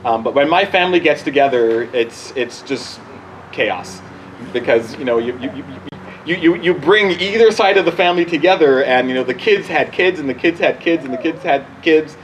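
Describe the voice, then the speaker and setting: A man in his forties from Barbados, a bishop informally answering questions outdoors in a halting, conversational way that often restarts sentences. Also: a little high, a little hiss or hum, rough recording. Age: 40s. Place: outdoors at a church gathering.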